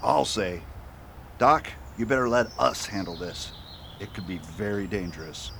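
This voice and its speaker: Commanding frontier lawman, lowish voice, steady, radio western, faint noise behind. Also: a little slow, gravelly, full character voice. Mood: calm, reassuring. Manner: calm, commanding. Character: steady, authoritative male US marshal in the West. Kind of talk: western radio drama acting. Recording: faint outdoor ambience behind.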